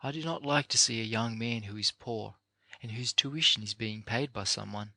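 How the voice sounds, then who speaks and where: A man narrating an audiobook of a motivational address, reading in a deliberate, earnest, oratorical way with measured phrases. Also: lowish voice, vocal fry, bright, forceful tone, no room sound.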